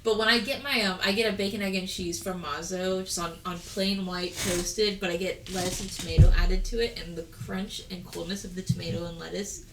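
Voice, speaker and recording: Soft-voiced young woman host, blunt and swearing. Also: on the low side, fairly even intonation, fairly quick, few pauses, roomy sound, noisy background. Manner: swears freely, blunt teasing, soft voice that does not project. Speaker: podcast co-host. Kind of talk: casual podcast chat.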